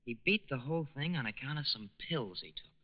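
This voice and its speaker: An actor in a 1940s radio drama playing a discontented, scheming teenage war plant worker with slangy, grumbling speech. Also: male voice, mid-range voice, lively intonation, a little fast, lightly acted. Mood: sly, persuasive. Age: young adult. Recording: muffled 1940s radio recording.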